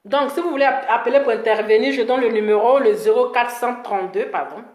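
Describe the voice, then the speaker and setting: High-voiced Ivorian woman, emphatic and scolding. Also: fairly quick, echoey room. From Ivory Coast. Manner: animated scolding, emphatic repetition. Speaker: social media live-stream host. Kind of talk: opinionated live-stream commentary with call-in.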